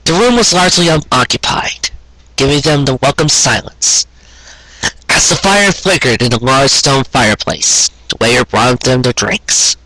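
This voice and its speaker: A man narrates a story in a bright, slightly rough voice. His delivery is measured and sentimental, clearly read from a script. The sound is very dry, with hardly any room around him. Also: mid-range voice, expressive, dry recording, low-quality recording. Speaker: audiobook reader of fan fiction.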